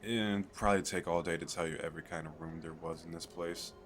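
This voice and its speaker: A man playing an eager, chatty fantasy merchant in an audio roleplay, talking the listener through a sales pitch in a hesitant, rambling, persuasive way. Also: steady tone, fairly quick, fairly bright tone, soft background music. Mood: proud, enthusiastic. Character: eager, chatty male fantasy merchant selling magical crystal castles.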